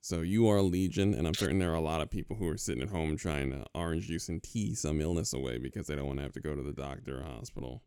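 A man co-hosting a podcast in casual chat, talking haltingly with many fillers. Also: a bass voice, mellow, clean tone, no room sound, clean studio sound. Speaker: podcast co-host.

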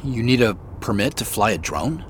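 A man's voice: on the low side, expressive, moderate pace, breathy edge. An actor playing a puzzled, guarded ordinary American man in an audio drama, talking casually and with hesitation. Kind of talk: naturalistic audio drama dialogue. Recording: faint noise behind the voice.

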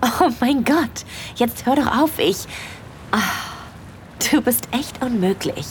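A woman playing a shy, smitten young holidaymaker in an intimate first-person audio drama, breathy, confiding and easily flustered. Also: a little high, lively intonation, slow pace, slight background noise.

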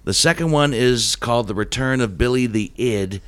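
Bright, punchy male podcast host with a cold. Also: medium pitch, moderate pace, clear, resonant tone. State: has a head cold. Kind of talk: casual conversational podcast talk. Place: recorded in Columbus, Ohio.

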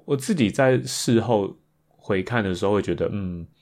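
Low-voiced Taiwanese man, reflective podcast talk. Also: moderate pace, a little mellow. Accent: Taiwanese Mandarin. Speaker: podcast host. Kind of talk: reflective casual monologue about improvised songs.

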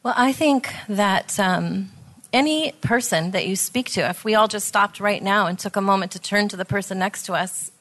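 A woman's voice: mid-range voice, moderate pace, a bit bright. A woman with a mid-range voice, talking in an earnest, conversational way. She explains things as a guest who helps lead a counseling program.

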